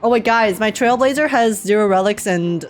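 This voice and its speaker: A woman's voice: medium pitch, normal speed. Playful woman streamer reacting to a game. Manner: giggly, playful. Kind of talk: casual game reaction commentary. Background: game music.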